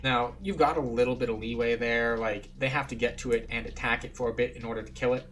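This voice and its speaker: A man's voice: mid-range voice, steady tone, fast talker, fairly bright tone. Man casually explaining a video game. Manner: explanatory, matter-of-fact. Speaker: game streamer and tour guide. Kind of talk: casual gameplay commentary. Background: game music.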